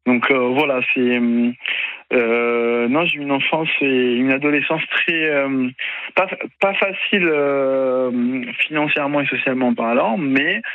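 A young man from Italy with no accent, speaking haltingly as a radio interview guest, full of fillers and restarts. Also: medium pitch, dry recording. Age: young adult. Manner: hesitant, many fillers and restarts. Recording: dry tinny phone-like radio sound.

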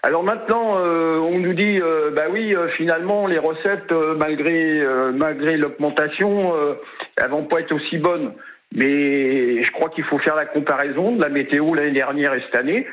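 A talkative man calling a radio show to share his view. His voice sits fairly high and comes through a thin phone line. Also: moderate pace. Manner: talkative, opinionated. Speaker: caller.

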